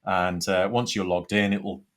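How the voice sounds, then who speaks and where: Male product demonstrator, lowish pitch, informal step-by-step tutorial delivery. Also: steady tone, normal speed, a bit bright. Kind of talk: software tutorial walkthrough.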